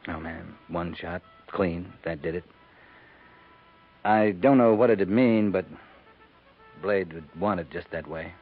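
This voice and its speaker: An actor playing a gentle, plain-spoken cavalry captain in a 1950s radio western, terse and understated. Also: male voice, low-pitched, takes it slowly, pauses often, slightly rough, phone-quality sound, faint music behind, exaggerated voice. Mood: gentle, grave. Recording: scratchy, phone-thin 1950s radio recording.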